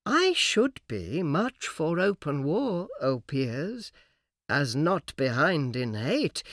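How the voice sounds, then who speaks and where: A male reader performs a fallen angel of epic poetry, a graceful and false-hearted orator. He reasons slowly and persuasively in a fairly high male voice, turning his argument on rhetorical questions. The sound is close and dry. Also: dry recording. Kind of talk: dramatic epic verse reading.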